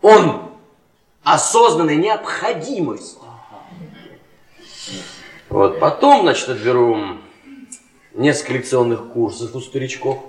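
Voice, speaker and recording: An actor playing a brash, ambitious young biology lecturer in radio theatre, insistent and given to emphatic repetition. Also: male voice, mid-range voice, lively intonation, slow, pauses often. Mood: cocky scheming. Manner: insistent, repeats himself emphatically. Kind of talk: Soviet radio theatre acting. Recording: echoey stage recording, off mic.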